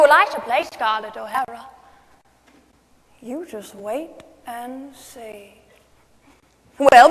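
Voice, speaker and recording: A woman's voice: high-pitched, fairly bright tone. A woman playing a coquettish, fragile, grand aging film star in a gushing, dramatic stage monologue. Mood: gossipy. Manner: gushing, dramatic, calls people darling. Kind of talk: solo dramatic monologue performance. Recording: echoey hall, long reverb.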